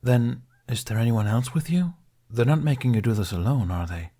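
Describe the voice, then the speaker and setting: A man doing a gentle big-brother type. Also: mid-range voice, expressive, normal speed, voice pushed up, natural voice. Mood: concerned. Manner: warm, reassuring.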